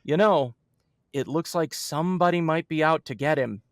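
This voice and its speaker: Man playing a high-voiced, scheming studio executive. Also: normal speed, no echo, lightly acted. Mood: worried, conspiratorial. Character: scheming, nervous male film studio executive. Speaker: actor in a radio comedy recreation. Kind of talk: 1940s radio comedy acting.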